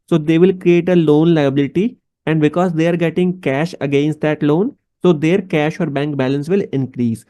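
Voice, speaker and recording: Male instructor, Indian accent, fairly high mellow voice, methodical explainer who poses questions to the learner. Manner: didactic, step-by-step, addressing the listener directly. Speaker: accounting instructor. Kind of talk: explanatory tutorial with rhetorical questions.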